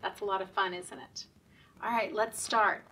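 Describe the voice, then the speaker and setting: A woman leading story time for young children in a sing-song, deliberate, encouraging way, often asking the children questions. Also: mid-range voice, fairly even intonation, pushed and bright, recorded from a distance.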